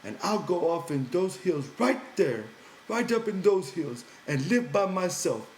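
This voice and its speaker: A man's voice: high-pitched, sing-song, a little slow, roomy sound, slight background noise, voice pushed up, poor audio. A man voicing a big, childlike, simple-minded ranch hand who pleads and whines in an audiobook. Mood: sulky, crafty. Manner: whiny, pleading, childlike, ungrammatical.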